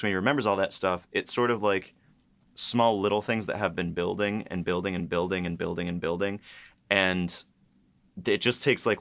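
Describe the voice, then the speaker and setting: A man co-hosting a casual behind-the-scenes discussion, talking in a halting way with repeated words and many filler phrases. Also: low voice, fairly bright tone. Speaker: writer and podcast co-host.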